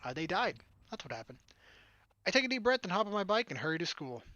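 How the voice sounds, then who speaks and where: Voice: mid-range voice, very animated, quick pace, sharp tone, no room sound. A game streamer reading a story aloud as narration, with casual, wry asides. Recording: background music behind the voice. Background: game music.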